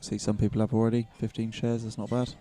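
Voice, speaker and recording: A man with a soothing voice, commentating a pool match in relaxed, chatty live-stream talk. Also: lowish voice, fast, faint music behind. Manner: relaxed, chatty and self-deprecating.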